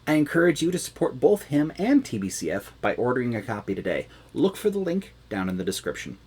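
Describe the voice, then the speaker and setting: A man reading to children as a storyteller, expressive, with dramatic emphasis. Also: mid-range voice, slight background noise.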